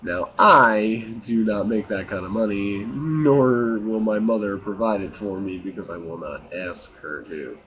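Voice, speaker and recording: Slow, low-voiced young man vlogging. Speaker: video blogger. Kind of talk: casual confessional monologue to camera. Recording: muffled lo-fi with faint hiss.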